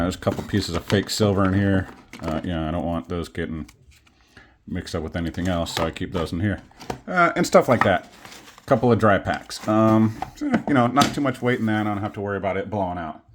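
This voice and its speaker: A man casually showing his collection on camera at home, rambling and self-deprecating with many pauses and fillers. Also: deep voice, noisy background. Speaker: coin collecting vlogger. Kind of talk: casual show-and-tell. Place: home studio.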